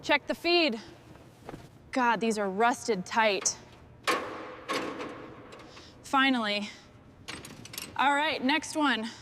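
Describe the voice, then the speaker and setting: A woman plays a determined female mechanic with a fairly high voice. She talks in terse bursts, muttering to herself or directing a crew. Clear machinery noise runs behind her. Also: lively intonation, extremely slow, long pauses. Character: hands-on female heavy truck restorer, gritty and determined. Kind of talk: scripted work chatter. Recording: noisy, with vehicle and machinery sounds.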